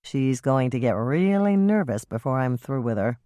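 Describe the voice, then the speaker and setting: A woman reading an audiobook and voicing a determined woman sleuth who talks calmly, firmly and wryly. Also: a bass voice, normal speed, dry recording.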